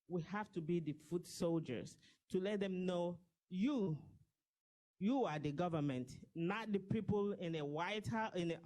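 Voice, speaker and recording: A woman's voice: mid-range voice, darkish tone. A woman in her fifties gives a passionate, persuasive speech to a gathering as a diaspora campaign organizer. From Nigeria. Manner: impassioned, persuasive, pleading.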